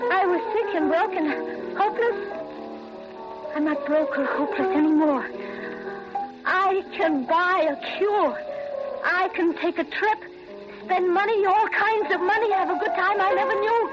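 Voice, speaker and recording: A woman acting a desperate young hard-bitten accomplice in a 1940s radio melodrama, deliberate and dramatic. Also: extremely high pitch. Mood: defiant. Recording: muffled vintage radio, heavy music.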